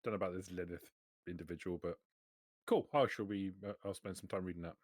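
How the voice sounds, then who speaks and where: A man's voice: sits low, fast, no echo, clean studio sound. A man playing a tabletop roleplaying game, talking casually in character in an offhand, laid-back way.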